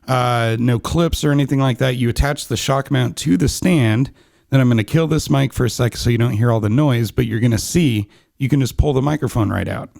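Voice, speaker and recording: A man's voice: mid-range voice, brisk, gravelly, no room sound. A man in his thirties casually reviewing microphones in a small home studio, talking close into a condenser mic with lots of ums and restarts. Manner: chatty, relaxed, many ums and restarts. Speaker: podcast gear reviewer. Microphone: studio condenser on boom arm, close, no pop shield.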